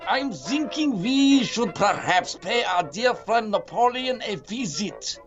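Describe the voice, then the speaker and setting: A man playing a haughty, scheming immortal villain in an audio drama, speaking formally and with deliberate menace. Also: high voice, very animated, muffled recording, over loud music, heavily acted. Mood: cool scheming.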